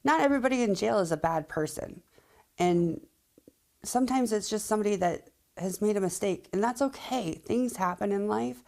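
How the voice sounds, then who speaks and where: A woman's voice: mid-range voice, lively intonation, moderate pace, gravelly, a little mellow. A woman speaking candidly as an interviewed local resident, emphatic and plain-spoken.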